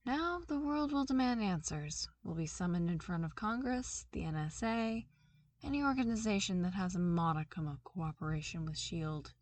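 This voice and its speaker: A woman's voice: lowish voice, expressive, moderate pace, dry recording. A woman reading aloud and voicing a patient, nonchalant female agent in a level tone. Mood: calm. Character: calm, unflappable female agent.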